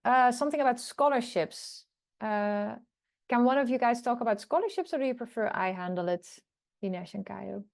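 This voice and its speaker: A woman's voice: on the high side, lively intonation, moderate pace, vocal fry. A woman hosting an online college information day over a video call, relaying questions and passing them to staff and students. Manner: friendly, relays questions and hands them to others. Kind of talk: moderating an online Q&A.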